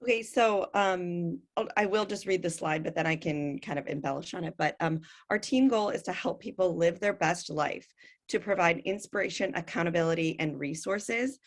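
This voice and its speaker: Raspy low-voiced woman chatting. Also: moderate pace. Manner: chatty, frequent fillers. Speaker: fitness coach. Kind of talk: casual webinar talk.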